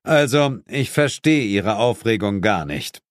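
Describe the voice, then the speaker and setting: A cocky male monster hunter, voiced by a man reading in a horror-comedy audiobook. He sounds smug and sarcastic, with animated intonation in a dry studio. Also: fairly low pitch, a little slow, dry recording. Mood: falsely soothing.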